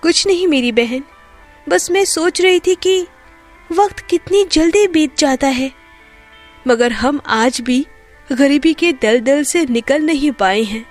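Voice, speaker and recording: A woman's voice: sits high, expressive, slow pace, faint music behind. A woman playing a sorrowful, protective orphaned elder sister in a storybook tale.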